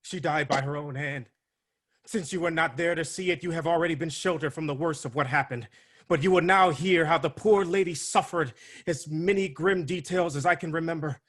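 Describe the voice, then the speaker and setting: An actor playing a grim palace messenger, giving a grave and theatrical recitation in a staged reading held over a video call. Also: male voice, on the high side, fairly quick, fairly bright tone. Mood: grieving. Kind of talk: staged reading of a Greek tragedy.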